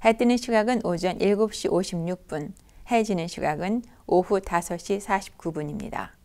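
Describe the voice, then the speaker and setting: A woman's voice: fairly low pitch, normal speed, a little mellow. A woman news anchor reading scripted news.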